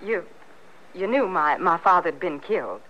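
A woman's voice: fairly low pitch, animated, a little slow, sharp tone, heavily acted. An actress playing a guarded but hospitable young American frontier woman in an old-time radio western. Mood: earnest, welcoming. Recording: muffled vintage radio recording with noise.

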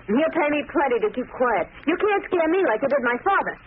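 A woman acting a defiant, high-strung young captive in old radio drama. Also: big pitch swings, fast. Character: defiant young dame held captive by gangsters. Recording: noisy, narrow-band old radio recording.

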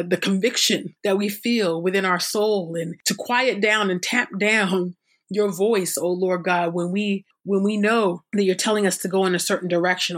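Woman doing a bible reading then praying, earnest and measured, mid pitch. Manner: measured, earnest, repeats "oh God" in prayer. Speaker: Bible reader. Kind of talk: scripture reading and spoken prayer.

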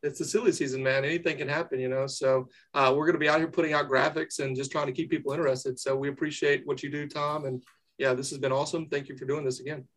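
Relaxed fan-podcast host, fading Southern accent, light-pitched and joking. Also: male voice, quick pace, low-quality recording. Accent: faint North Carolina accent, mixed with New England. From North Carolina. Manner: laid-back, jokey, laughs often. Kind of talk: casual podcast interview.

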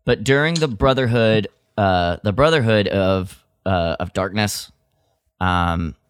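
A man's voice: lowish voice, slow pace, clean tone, dry recording, clean studio sound. A man co-hosting a podcast, explaining lore in a casual, informal way and often repeating his words. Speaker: podcast co-host. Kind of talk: casual fan discussion of lore.

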